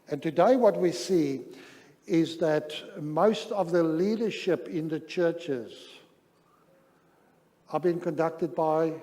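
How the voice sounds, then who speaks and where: Slow-speaking South African pastor in echoing hall. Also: male voice, a little high, warm tone. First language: not English, which is a third language. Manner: measured, deliberate, pausing between phrases. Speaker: pastor, seminar presenter. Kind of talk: sermon-style relationship seminar talk. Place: large church hall, speaking to an audience. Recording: reverberant hall, long echo.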